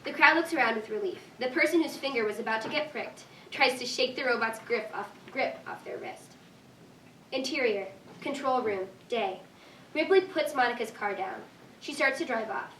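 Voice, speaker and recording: A woman's voice: fairly high pitch, moderate pace, sharp tone. A woman reading scripted stage directions as narrator at a staged reading. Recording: distant echoey room, faint noise.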